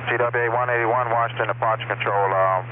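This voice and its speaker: A man working as a departure air traffic controller, giving clipped, rapid instructions in radio phraseology. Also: medium pitch, steady tone. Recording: tinny radio channel with static hiss.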